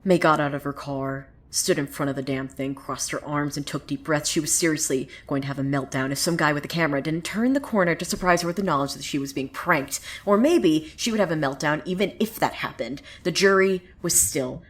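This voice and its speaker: A woman's voice: low voice, brisk, hardly any pauses, natural voice. A woman playing a sardonic, opinionated storyteller in a fiction podcast, narrating conversationally with gossipy asides. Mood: wry, suspenseful. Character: opinionated, sardonic female storyteller who gossips about townsfolk. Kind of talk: dramatic first-person storytelling.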